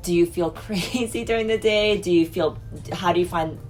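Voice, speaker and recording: A woman's voice: on the low side, moderate pace, darkish tone, faint music behind. A woman in her thirties hosting a casual chat in a hotel room, playful and chatty with lots of fillers. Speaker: host.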